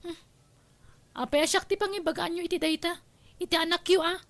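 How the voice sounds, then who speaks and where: A woman's voice: very high-pitched, steady tone, brisk, fairly bright tone. A woman playing a spurned, scheming young ex-lover in a radio drama, taunting and confrontational. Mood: defiant, taunting. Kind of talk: Ilocano radio drama acting.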